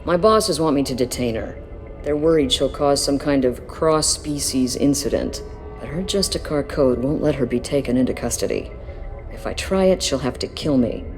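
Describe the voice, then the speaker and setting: An actress playing a dutiful, weary police detective in a video game, speaking plainly. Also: female voice, very deep voice, normal speed, darkish tone, big-room sound, soft background music. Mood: firm, wry.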